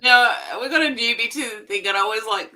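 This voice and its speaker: Bright-voiced woman hosting. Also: a little high, low-quality recording. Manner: chatty, emphatic, quick asides. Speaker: live stream news host. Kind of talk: casual news commentary.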